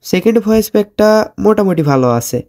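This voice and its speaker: Casting: male gaming YouTuber, Bangladeshi accent, higher-pitched voice, very quick casual delivery, slangy and direct. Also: expressive, a little mellow. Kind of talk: casual game commentary.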